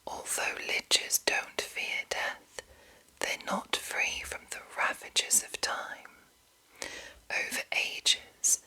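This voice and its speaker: Female ASMR reader: very breathy whisper, hushed and deliberate, unhurried delivery of fantasy monster lore. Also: on the low side, rough recording. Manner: slow, hushed, deliberate enunciation. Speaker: ASMR host.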